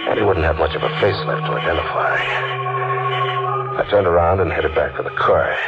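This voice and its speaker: An actor playing a cold-blooded drifter in a 1940s radio mystery, terse, deadpan and hard-boiled. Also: male voice, low voice, fairly quick. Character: cold-blooded male drifter, a calculating killer narrating his crime. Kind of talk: 1940s radio mystery acting with first-person narration. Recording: dull old radio recording with loud music.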